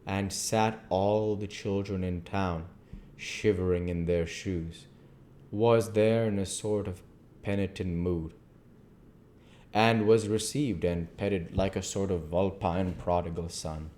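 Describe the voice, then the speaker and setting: A man reading a classic novel aloud as an amateur narrator, measured, with the odd stumble. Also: deep voice, fairly even intonation, darkish tone, slight background noise. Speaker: amateur book reader.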